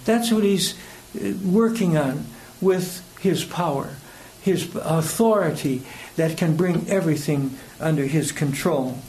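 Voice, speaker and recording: A man's voice: fairly high pitch, unhurried, hardly any pauses, a little mellow, poor audio. An older man with an American accent giving a conversational sermon, rambling and hesitant as he tells personal anecdotes. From United States. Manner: hesitant, rambling, chuckles between phrases. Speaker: preacher. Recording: clear background noise.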